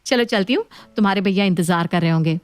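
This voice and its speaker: A woman's voice: mid-range voice, fast talker, clear, resonant tone, dry recording, lightly acted. A woman playing a breezy, confident modern sister-in-law in a dramatized story. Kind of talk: story dialogue.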